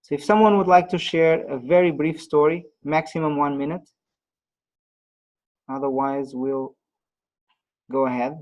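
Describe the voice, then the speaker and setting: A man presenting an online webinar on pitching, pausing often with fillers and restarts, heard over a video call. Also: fairly even intonation, darkish tone, rough recording. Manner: halting, many fillers and restarts.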